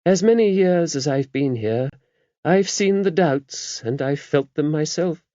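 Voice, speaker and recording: A man voicing an older abbess in an audiobook, a shrewd, gently wry woman who talks in a brisk, genteel, confiding way. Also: on the high side, animated, no echo, pitched above the performer's own voice. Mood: earnest. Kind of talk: audiobook character voice. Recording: dull, muffled lo-fi audio.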